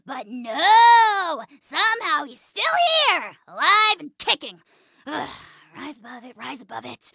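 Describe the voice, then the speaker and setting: Tiny tyrant dragon spirit, male, game creature. Extremely high, creaky squawk, pompous, bratty, explosive. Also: sing-song, a little slow, pushed and bright, no echo, studio quality, heavily acted. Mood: haughty, grudging. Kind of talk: cartoonish video game creature acting.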